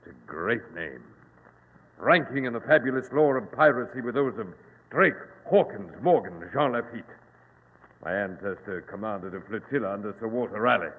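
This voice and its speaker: An actor playing a menacing, boastful pirate captain in formal, grandiloquent old-time radio drama style. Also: male voice, animated, normal speed, husky, long reverb tail. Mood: proud boasting. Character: menacing, boastful modern pirate captain holding captives at gunpoint. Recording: muffled, noisy old radio recording, boomy.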